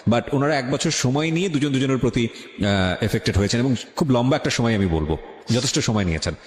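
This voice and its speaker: Man, radio host, Bangladeshi Bengali accent, fast delivery, emphatic and dramatic, heavy reverb. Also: mid-range voice, animated. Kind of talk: radio hosting, commentary to listeners. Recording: echoey studio sound, long reverb.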